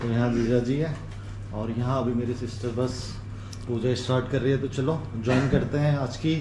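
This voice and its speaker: Man vlogging amid loud noise. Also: medium pitch, fairly even intonation, normal speed. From India. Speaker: vlog host. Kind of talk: casual vlog chat with some English words mixed in. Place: busy cafe and roadside, indoors and outdoors. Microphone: camera held at arm's length. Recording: very noisy handheld recording, street and room ambience. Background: loud urban ambience, vehicles.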